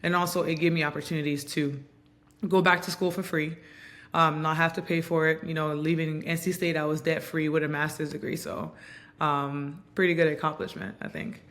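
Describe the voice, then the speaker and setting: A woman in her thirties from Montreal, whose first language is Haitian Creole, giving reflective, earnest interview answers with frequent pauses and ums. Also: sits low, steady tone, a bit bright. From Montreal, Canada. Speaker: guest, basketball player and coach.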